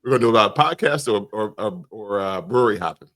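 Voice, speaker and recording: A man's voice: lowish voice, expressive, quick pace, fairly bright tone. A man hosting a podcast in casual, joking group banter, rambling as he goes. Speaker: podcast host.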